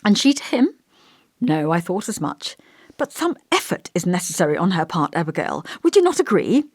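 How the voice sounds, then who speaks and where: A woman's voice: fairly low pitch, sing-song, moderate pace, a little mellow, no room sound, clean studio sound. A woman voicing an elderly male village vicar in an audiobook, kindly, long-winded and fussy, with a pompous, old-fashioned, musing manner. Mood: earnest, reproving. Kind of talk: audiobook character dialogue.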